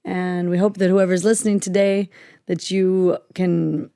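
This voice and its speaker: A woman's voice: lowish voice, level tone, measured pace, creaky, warm tone, clean tone, no room sound, studio quality. A middle-aged American woman co-hosting a podcast, telling stories in a friendly, casual way. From United States. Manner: friendly, storytelling, finishes her partner's thoughts. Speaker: podcast co-host. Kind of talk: casual conversation.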